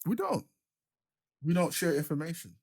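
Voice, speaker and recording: A man's voice: fairly high pitch, very animated, normal speed, creaky, a little mellow. Easygoing man host who laughs often. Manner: easygoing, laughs often, asks short questions. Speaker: podcast host and keyboard player. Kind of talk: casual interview chat.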